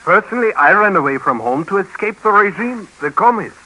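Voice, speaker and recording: Animated, baffled refugee carpenter. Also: male voice, high voice, heavily acted. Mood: puzzled. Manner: halting, incredulous questioning. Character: baffled, skeptical refugee carpenter from Eastern Europe. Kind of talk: 1950s radio drama acting. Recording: noisy old 1951 radio recording.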